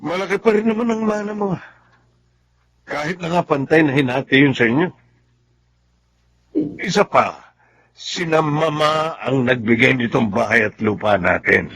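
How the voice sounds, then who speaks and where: A sensible husband at the end of his patience in a radio melodrama. The man's voice is fairly high and raspy. He chides and insists as he reasons. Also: normal speed, pauses often, a bit bright. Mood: exasperated, reasoning. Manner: chiding, insistent. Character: reasonable, exasperated husband scolding his wife. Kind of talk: Tagalog radio melodrama acting.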